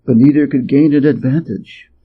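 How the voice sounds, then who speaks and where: A man from Hawaii reading a storybook tale as an expressive narrator. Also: medium pitch, moderate pace, slightly rough, narrow, tinny audio. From Hawaii, United States.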